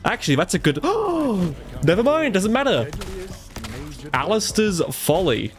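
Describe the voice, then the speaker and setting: Chatty gamer man with a stuffy cold. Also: mid-range voice. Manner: chatty, reacts out loud to the game. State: congested from a cold. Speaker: gaming commentator. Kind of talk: live gameplay commentary.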